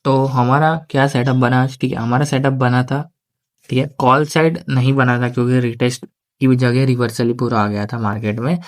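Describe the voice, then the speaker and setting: A man's voice: mid-range voice, little pitch movement, fairly quick. Man with a sore throat. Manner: conversational, repeats filler "theek hai". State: sore throat, speaking slowly. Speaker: stock market analyst. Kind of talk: casual trading analysis to viewers.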